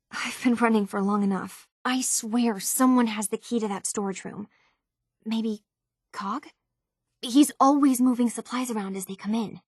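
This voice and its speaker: A woman's voice: medium pitch, darkish tone, slightly rough, dry recording, clean studio sound. An actress playing an earnest young woman tinkerer searching for her identity in a video game, speaking in a natural, slightly hesitant conversational way. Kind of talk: naturalistic game dialogue acting.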